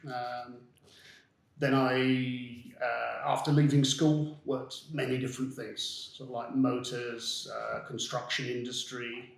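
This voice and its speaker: A man whose first language is Dutch, talking through his background as a podcast guest. He speaks in a flat, gravelly voice, steadily and with many ums. His mic picks up a noticeably roomy echo. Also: medium pitch. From Netherlands and southern England. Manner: measured, with frequent ums. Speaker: guest, business founder. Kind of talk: casual interview storytelling.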